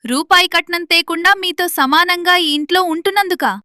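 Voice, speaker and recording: A woman's voice: extremely high pitch, moderate pace, bright, forceful tone, no room sound, clean studio sound. A woman voicing a wronged young daughter-in-law speaking out indignantly in a cartoon drama. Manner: indignant, reproachful.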